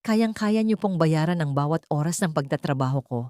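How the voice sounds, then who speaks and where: A weak, exhausted poor woman, as a female narrator puts her on in an audiobook romance. She speaks in a halting, deferential way with wounded dignity. The recording is dry and close. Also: deep voice, lively intonation, warm tone, no echo. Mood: weary hurt.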